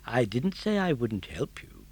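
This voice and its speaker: A man's voice: medium pitch, sing-song, a little slow, darkish tone, breathy edge, dry recording, heavily acted. Man as a small, chiding talking cricket. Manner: pointed, admonishing.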